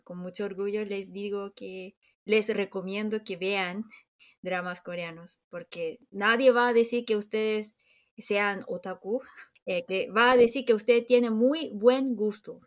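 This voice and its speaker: A woman's voice: mid-range voice, steady tone, measured pace. A middle-aged woman from Korea with a Korean accent, co-hosting a chat podcast in a reflective way, often restarting and searching for words. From South Korea. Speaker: podcast co-host. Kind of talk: casual chat.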